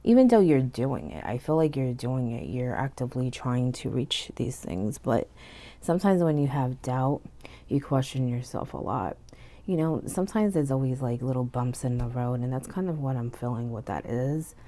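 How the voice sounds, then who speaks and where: A woman giving a casual tarot reading, sounding stuffy and hoarse from illness, chatty with frequent "okay?" and "you know". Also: very deep voice, expressive, moderate pace, warm tone. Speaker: tarot reader. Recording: faint background noise.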